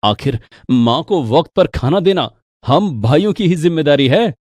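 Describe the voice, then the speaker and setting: A man's voice: a little high, expressive, moderate pace, a little mellow, no echo. A man playing a responsible, earnest adult son in a cartoon story, explaining things emphatically. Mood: earnest, firm.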